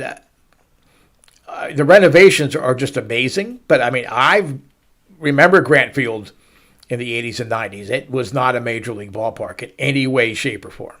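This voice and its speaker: Middle aged guy doing sports storytelling, really animated pitch, drags out words for emphasis. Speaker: baseball show host. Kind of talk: storytelling sports commentary to listeners.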